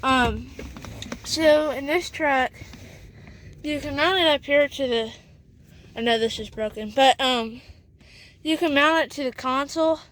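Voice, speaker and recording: High-voiced woman, halting how-to talk. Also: fairly bright tone, rough recording. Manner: halting, many fillers and repeated "essentially". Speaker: video host showing a vehicle setup. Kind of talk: casual how-to walkthrough. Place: in and around a pickup truck.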